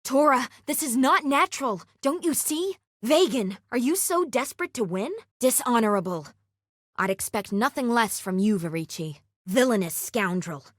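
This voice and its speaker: A woman voicing a solemn, honorable warrior in a video game, speaking in a formal, measured and earnest way. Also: fairly high pitch, expressive, dry recording, clean studio sound. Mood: reproachful. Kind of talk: scripted game character dialogue.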